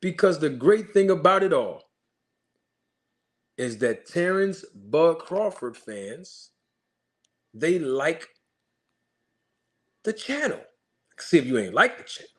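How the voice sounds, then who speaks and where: Man, boxing channel host, emphatic, rhetorical questions, very lively pitch. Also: slow pace, pauses often. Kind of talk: animated commentary rant.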